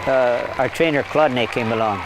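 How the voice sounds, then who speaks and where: A middle-aged man, a horse breeder, talking casually in a documentary interview in a plain, hesitant way with frequent pauses. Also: medium pitch, a crowd in the background, noisy background. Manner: hesitant, plain-spoken, pausing often. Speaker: horse farm owner and breeder. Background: music.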